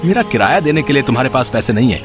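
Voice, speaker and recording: Heartless, contemptuous landlord played by a man, mid-pitched scolding voice, moral-story drama, music behind. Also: animated, very fast, close to an everyday voice. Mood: angry, scornful. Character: harsh, heartless, scornful landlord demanding overdue rent.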